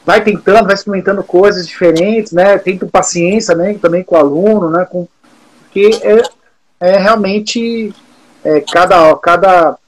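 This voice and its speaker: A Brazilian man in his forties, a music teacher, talking in a relaxed, thoughtful way with many fillers and restarts. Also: sits high, a little slow, rough recording. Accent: Brazilian Portuguese. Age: 40s. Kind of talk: casual interview talk.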